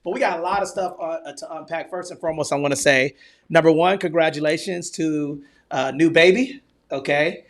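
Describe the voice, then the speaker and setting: High-voiced man hosting a casual podcast. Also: fairly quick. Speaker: podcast host.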